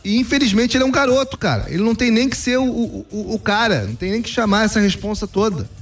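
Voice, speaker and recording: Opinionated high-voiced male pundit. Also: lively intonation, fast talker, soft background music. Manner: opinionated, emphatic, repeats "cara". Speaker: football pundit. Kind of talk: casual sports talk commentary.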